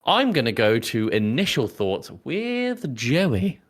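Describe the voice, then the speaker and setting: A man's voice: medium pitch, huge pitch swings, slow pace. A man hosting a casual film discussion podcast in a chatty, jokey way. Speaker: podcast host.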